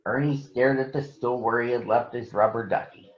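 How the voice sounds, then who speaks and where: A man's voice: medium pitch, fairly even intonation, normal speed. Man reading to schoolchildren, dull lo-fi sound. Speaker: picture book reader for schoolchildren. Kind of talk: addressing children, then read-aloud storytelling.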